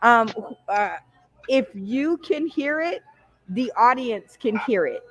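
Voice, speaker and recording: A woman's voice: on the high side, animated, takes it slowly. An American woman hosting and moderating a casual discussion panel over dull, lo-fi online call audio.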